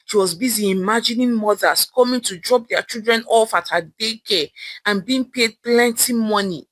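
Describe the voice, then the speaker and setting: A woman's voice: mid-range voice, fairly bright tone, no room sound, poor audio. A woman with a Nigerian accent narrating a folktale as an oral storyteller.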